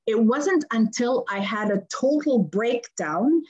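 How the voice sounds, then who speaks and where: A woman's voice: a little high, lively intonation, takes it slowly, a little mellow, slightly rough, highs cut off. A woman in her fifties with a Lebanese accent, a guest on a podcast, explaining her work earnestly and repeating phrases for emphasis. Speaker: guest, conflict resolution coach. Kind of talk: podcast interview.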